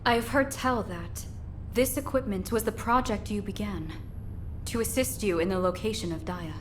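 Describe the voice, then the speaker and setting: A courteous woman warrior from a video game, voiced by an actress. She speaks formally and reassuringly at a middle pitch, with old-fashioned politeness, over faint noise. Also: normal speed, lightly acted. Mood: calm, reassuring. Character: courteous, formal, reassuring female comrade in arms. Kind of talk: dramatic video game voice acting.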